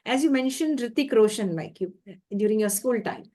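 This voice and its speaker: A woman's voice: fairly high pitch, expressive, moderate pace, dark tone. A woman hosting an online interview, polite and formal, addressing her guest as dear and ma'am. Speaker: interviewer, host.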